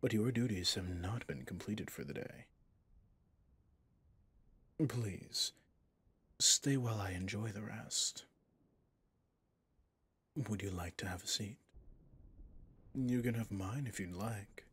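A man playing a possessive villain boss in an intimate ASMR roleplay, speaking formally and commandingly yet indulgently, in a husky, breathy voice. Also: lowish voice, slow, pauses often, a bit bright, no room sound, heavily acted. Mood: inviting, teasing. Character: smooth possessive male villain boss, doting on favorite servant.

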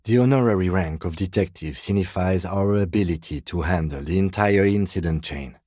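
A man voicing a dry, dutiful police lieutenant detective in a video game, speaking in a measured, formal, deadpan way. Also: very deep voice, breathy edge, no echo. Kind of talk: scripted video game dialogue.

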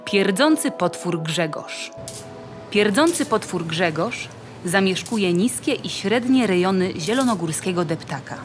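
A woman's voice: mid-range voice, animated, measured pace. A woman reading scripted narration in the style of a nature documentary for an audio play. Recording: music behind the voice. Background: music.

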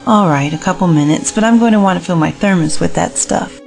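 A woman's voice: fairly low pitch, fairly quick, vocal fry, a little mellow, faint music behind. A woman playing a practical, open-minded business investor in an audio drama, polite and reasonable. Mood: wry, firm.

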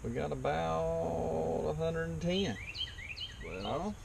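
A man in his thirties, a mechanic, talking casually and slowly while he works on an old tractor outdoors, thinking aloud. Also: fairly high pitch, gravelly. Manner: slow, relaxed, thinking aloud while working. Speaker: mechanic hosting a tractor repair channel. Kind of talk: casual hands-on workshop talk. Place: outdoors on a rural property. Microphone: camera mic, outdoors. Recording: loud outdoor wind and engine noise. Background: outdoor ambience and vehicle noise.

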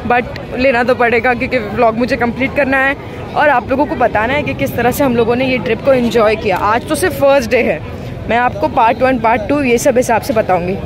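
A woman narrating a casual travel vlog outdoors at night, chatty and full of excited exclamations. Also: fairly high pitch, brisk. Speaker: vlogger. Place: outdoors at a crowded beach at night. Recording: crowd noise behind. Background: crowd chatter.

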